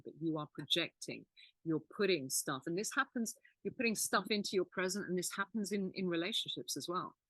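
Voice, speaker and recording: A woman co-hosting a healing channel who explains things in a calm, reflective way. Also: on the low side, no room sound, low-quality recording. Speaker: healing course teacher and co-host. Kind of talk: teaching conversation.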